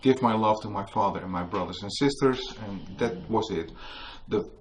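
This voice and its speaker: A Dutch-accented man speaks in a lowish voice, recounting a story to a gathered audience in a museum. He fills his pauses with hesitations and restarts while reaching for English words. A faint background hiss sits under the recording. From Netherlands. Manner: hesitant, searching for English words, many fillers. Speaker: author giving a book talk. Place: museum hall with a live audience.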